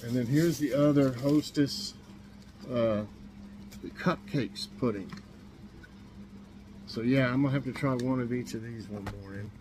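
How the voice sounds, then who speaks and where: A man's voice: medium pitch, unhurried, pauses often, background noise. Chatty middle-aged man rambling to camera. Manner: rambling, chatty, many ums and restarts. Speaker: vlogger showing a grocery haul. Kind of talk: casual chat to camera. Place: small kitchen indoors.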